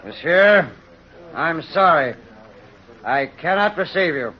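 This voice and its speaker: An actor playing a cold, suspicious, unyielding innkeeper, curt and formal, in a 1930s radio drama. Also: male voice, on the high side, animated, takes it slowly. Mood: guarded, refusing. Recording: dull lo-fi old radio recording.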